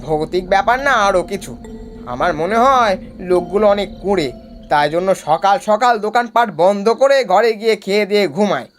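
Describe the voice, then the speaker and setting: A man's voice: high voice, expressive, moderate pace, faint music behind. Man acting a brash young traveller. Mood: dismissive, bold. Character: bold, dismissive young man travelling with friends. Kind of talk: horror cartoon voice acting.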